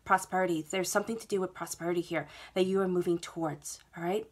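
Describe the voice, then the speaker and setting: A woman with a stuffy head cold reads tarot cards laid out on a table at home. Her voice sits a bit low, and she talks in a soothing, reassuring way, repeating herself and often checking in with "okay?". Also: steady tone, quick pace. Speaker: tarot reader. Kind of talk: monthly tarot reading to camera.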